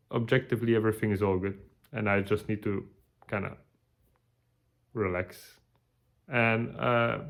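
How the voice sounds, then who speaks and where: A tired man, giving a halting, casual video update with many restarts. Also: on the low side. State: tired, burned out. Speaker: vlogger.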